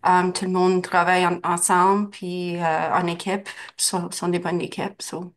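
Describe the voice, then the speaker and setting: A woman's voice: lowish voice, flat delivery, measured pace. A woman who works as an adult education trainer, introducing herself hesitantly in an online meeting. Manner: hesitant, searching for words. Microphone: computer mic on video call.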